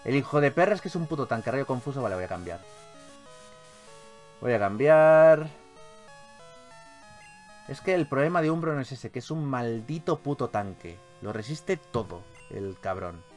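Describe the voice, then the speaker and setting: A man with a Castilian Spanish accent giving casual, animated gameplay commentary as a video host, swearing freely. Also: medium pitch, moderate pace, long pauses, soft background music. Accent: Spanish from Spain.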